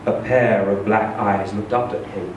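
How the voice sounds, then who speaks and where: A man reading a short story aloud in an expressive, theatrical, emphatic storytelling style. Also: lowish voice, a little slow. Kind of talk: expressive live literary reading. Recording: echoey room, distant mic, faint noise.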